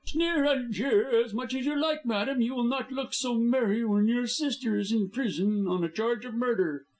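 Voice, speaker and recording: A man's voice: extremely high pitch, normal speed, pitched above the performer's own voice, poor audio. A man reading an audiobook, voicing a scheming male lawyer who sneers and threatens. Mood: savage.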